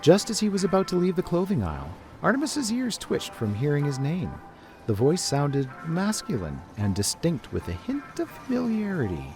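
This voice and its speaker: A man's voice: a little high, huge pitch swings, moderate pace. A man reading scripted story narration as a narrator, with music behind him.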